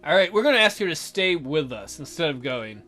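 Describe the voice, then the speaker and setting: A woman's voice: low-pitched, big pitch swings, brisk, a bit bright. A woman hosting a let's play, reading a game's narration aloud with casual commentary. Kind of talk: animated game read-aloud with casual commentary. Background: music.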